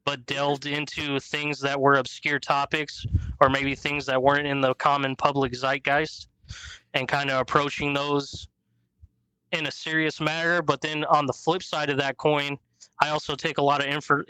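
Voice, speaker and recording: A man's voice: fairly high pitch, level tone, unhurried, bright, forceful tone, clean tone, dry recording, low-quality recording. A man in his thirties, a guest podcast host chatting casually and easily about his show. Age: 30s. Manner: easygoing, chatty, storytelling.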